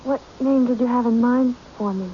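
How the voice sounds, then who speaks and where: A woman's voice: on the high side, lightly acted. An actress playing a timid, naive and obedient woman who speaks hesitantly, in old-time radio mystery style. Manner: hesitant, meek, halting. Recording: dull, noisy old radio recording.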